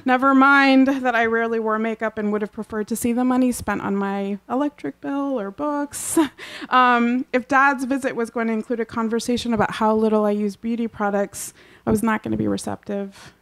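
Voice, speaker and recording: A woman's voice: on the high side, steady tone, normal speed, a bit bright. Woman reading her essay aloud, wryly. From Kansas, USA. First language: Urdu. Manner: reads wryly with offhand asides and frequent throat clearing. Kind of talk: reading aloud a personal essay at a book launch. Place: live literary event.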